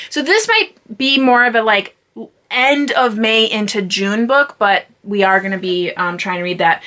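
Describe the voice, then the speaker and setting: A woman with a bright, clear-edged voice chats to her viewers as a vlog host. Her delivery is informal and loosely rambling, like unscripted talk about her own plans. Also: medium pitch.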